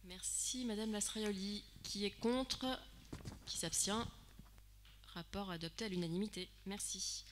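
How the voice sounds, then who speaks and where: Female chair of a council meeting, mid pitch, brisk routine procedure. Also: steady tone, a little hiss or hum. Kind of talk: chairing a vote, formal procedure. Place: municipal council chamber.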